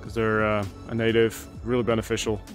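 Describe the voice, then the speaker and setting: A man's voice: on the low side, monotone, a little fast, faint music behind. A man giving a casual, enthusiastic tour of his home garden outdoors, chatting with fillers as he films on a handheld camera. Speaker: gardening channel host.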